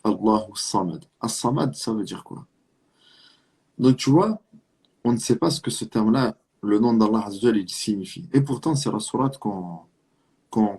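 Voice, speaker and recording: Man, low husky voice, Marseille accent, earnest preacher who talks straight to the listener and repeats his points. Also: warm tone. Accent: southern French (Marseille). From France (Marseille). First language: French. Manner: earnest, exhortative, rhetorical questions and repetition. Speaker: Islamic preacher. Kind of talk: religious talk addressing the listener directly.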